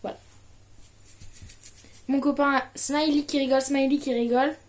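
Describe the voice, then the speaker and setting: Chatty young woman with a high voice. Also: normal speed. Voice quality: muffled, as if nasal, from a pen held in her mouth. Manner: chatty, reads book blurbs aloud with expressive intonation. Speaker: vlogger. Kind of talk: casual diction tutorial with read-aloud. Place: small living room at home, indoors.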